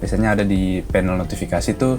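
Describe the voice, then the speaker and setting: A man's voice: low-pitched, fairly even intonation, fast, over music. A man with an Indonesian accent giving a casual, chatty gadget review to viewers, explaining things informally. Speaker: product reviewer.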